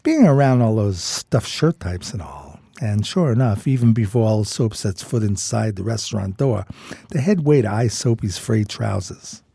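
An actor playing a streetwise, homeless New buddy, narrating a radio drama in folksy, admiring slang. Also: male voice, lowish voice, lively intonation, normal speed, vocal fry, warm tone, breathy edge, no echo, studio quality, exaggerated voice. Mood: wry storytelling.

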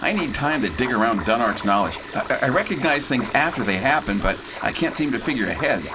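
A folksy, bold space hero acted by a man for radio theater. He gives orders in breezy old-time slang with a lively, mid-pitched voice. An engine-like noise runs clearly behind him. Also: fairly quick, close to an everyday voice. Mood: puzzled. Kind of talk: space opera radio drama acting.

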